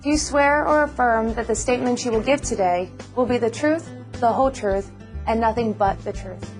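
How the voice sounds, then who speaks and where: A woman's voice: a little high, moderate pace, faint music behind, natural voice. A woman playing a courteous, businesslike immigration officer in a scripted educational dramatization, speaking in a formal, procedural way.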